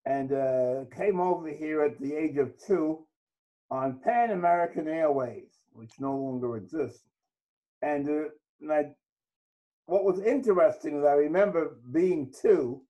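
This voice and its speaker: A man's voice: on the high side, a little slow, dark tone, dull audio. An older man from Trinidad, a guest author, telling his life story in a reflective interview. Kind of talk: interview conversation.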